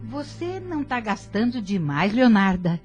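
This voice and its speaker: A woman's voice: mid-range voice, very animated, measured pace, darkish tone, lo-fi sound, faint music behind. A woman playing a prudent, reproving middle-aged mother in an audio drama.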